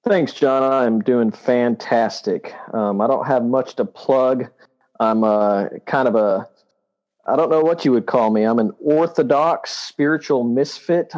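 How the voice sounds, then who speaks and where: A man's voice: medium pitch, a little mellow, highs cut off. A man from Texas, a podcast guest, talking casually and hesitantly with many ums. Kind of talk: casual chat.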